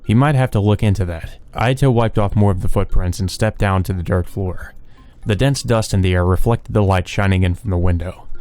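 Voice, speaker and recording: A man's voice: sits low, a little fast, mellow. A man reading a visual novel aloud as the host of a let's play. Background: background music.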